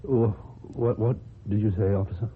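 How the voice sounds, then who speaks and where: A man's voice: on the low side, fairly even intonation, slight background noise, lightly acted. Actor as anguished, haunted man on tinny radio. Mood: stunned, disbelieving. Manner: halting, faltering delivery. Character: anguished, haunted man unravelling in grief and dread. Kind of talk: radio drama acting. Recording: muffled, tinny old radio recording.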